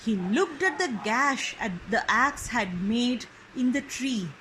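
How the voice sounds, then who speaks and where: A woman storyteller reading a children's book expressively and deliberately, phrase by phrase. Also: fairly high pitch, expressive, pushed and bright, slight background noise, rough recording. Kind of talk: expressive scripted storybook narration.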